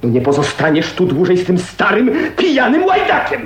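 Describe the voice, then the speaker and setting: An actor playing a domineering, respectable older uncle in a radio drama, pompous, scandalised and scolding. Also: male voice, high voice, huge pitch swings, moderate pace. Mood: scandalised, stern. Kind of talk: Polish radio drama acting. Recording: roomy echo, faint noise, radio drama.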